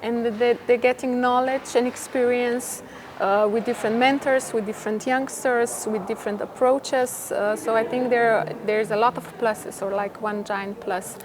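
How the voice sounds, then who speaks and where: A woman's voice: on the high side, steady tone, normal speed. A woman who works in theatre, explaining her views in an interview. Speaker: theatre worker being interviewed. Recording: clearly noisy ambient background.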